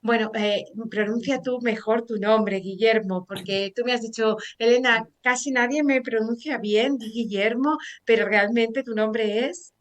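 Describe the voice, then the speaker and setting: Una mujer con acento de España presentando en directo un programa de radio, charlando con soltura y muchas muletillas. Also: female voice, a little high, unhurried, hardly any pauses. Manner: chatty and warm, frequent fillers like "eh" and "pues bueno". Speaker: radio show host. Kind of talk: live radio talk show hosting.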